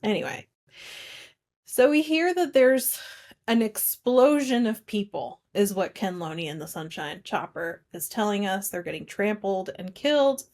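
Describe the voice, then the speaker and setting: A woman co-hosting a casual film discussion, recapping in an informal, conversational way with animated retelling. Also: medium pitch, a little slow. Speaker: podcast co-host.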